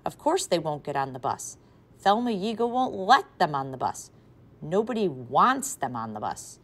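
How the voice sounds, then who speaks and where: A woman's voice: low-pitched, big pitch swings, moderate pace, fairly bright tone, exaggerated voice. A woman voicing an exasperated, practical mother of schoolkids who asks incredulous questions.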